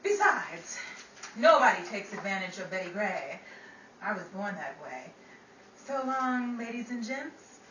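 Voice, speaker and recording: A woman's voice: mid-range voice, sing-song, unhurried, bright, forceful tone. A woman playing a brassy, cocky 1930s New York woman in a radio comedy, sassy and boastful. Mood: cocky, teasing. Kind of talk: old-time radio comedy acting. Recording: roomy, distant stage pickup, noisy audience.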